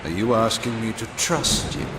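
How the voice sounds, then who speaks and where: An actor voicing a proud, sardonic male vampire warlord in a video game, cold and imperious, with a theatrical, deliberate delivery. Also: on the low side, expressive, roomy sound, background noise. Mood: scornful. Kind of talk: scripted video game cutscene acting.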